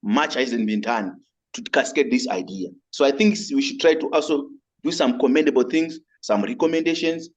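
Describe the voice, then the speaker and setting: A man with a Zimbabwean accent speaking up as a migrant rights advocate in a webinar. He is earnest and halting, and often repeats and rephrases himself as he goes. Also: mid-range voice, muffled recording. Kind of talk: webinar audience comment.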